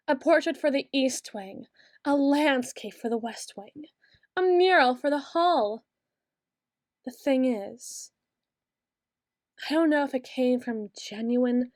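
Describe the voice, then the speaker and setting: A young woman voicing a brooding queen who speaks softly and musingly, close to the microphone, in an ASMR roleplay. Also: a little high, no echo, close to an everyday voice. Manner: soft-spoken, slow and musing, turning bitter. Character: brooding young queen, bitter toward her late father.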